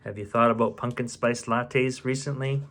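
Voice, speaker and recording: A man's voice: mid-range voice, fairly even intonation, normal speed, a bit bright. A man reading a storybook aloud expressively, with casual muttered asides as he goes. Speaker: bedtime story reader.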